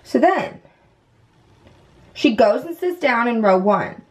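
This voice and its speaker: A woman's voice: a little high, expressive, takes it slowly. A woman in her twenties chatting casually to camera like a vlogger, telling a story informally and peppering it with "like" and "whatever.". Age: 20s. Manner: chatty, heavy use of "like" and "whatever". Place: indoors at home, bathroom. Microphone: camera at about arm's length.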